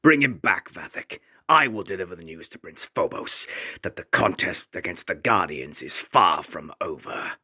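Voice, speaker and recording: A man's voice: deep voice, animated, normal speed, sharp tone, slightly rough, heavily acted. A man voicing an arrogant, scheming villain lord in a comic fan dub, haughty and theatrical. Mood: scornful, scheming.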